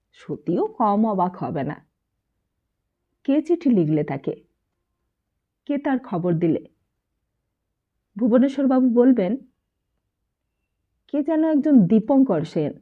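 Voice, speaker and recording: Woman narrating a novel through muffled, phone-like sound. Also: mid-range voice. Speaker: audiobook narrator. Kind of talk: literary audiobook narration of a novel.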